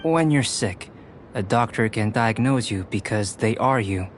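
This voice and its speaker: A man's voice: fairly low pitch, a little hiss or hum, close to an everyday voice. Cool, analytical young alchemist, played by a man. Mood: reflective, explanatory. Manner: measured, analytical, precise, professorial. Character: cool, cerebral young male alchemist, calm and detached. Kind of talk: anime-style video game voice acting.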